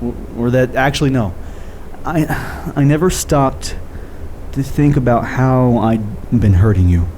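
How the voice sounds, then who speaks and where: A man acting in an audio drama as a guilt-ridden, defensive skeptic, with halting, hesitant delivery and dry sarcasm. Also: mid-range voice, a little mellow, a little hiss or hum, close to an everyday voice. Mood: remorseful.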